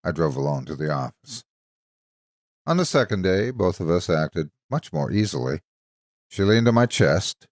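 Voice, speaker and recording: Male story narrator, low register, highly varied intonation, measured dramatic delivery. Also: sing-song, no room sound, clean studio sound.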